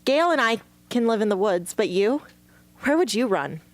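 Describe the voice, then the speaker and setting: A woman's voice: a little high, lively intonation, moderate pace, no room sound, close to an everyday voice. A woman playing a defiant, guarded young rebel hunter in an amateur radio drama, speaking in a blunt, challenging way. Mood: defiant, warning. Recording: faint hum and background noise.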